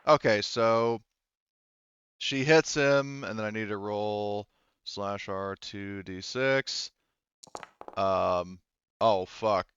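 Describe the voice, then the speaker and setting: A man's voice: on the low side, very slow, long pauses, fairly bright tone, clean tone, no echo, muffled recording. A man running a tabletop role-playing game as game master, talking informally and swearing casually. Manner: profane, informal. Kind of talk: casual tabletop game narration, online session.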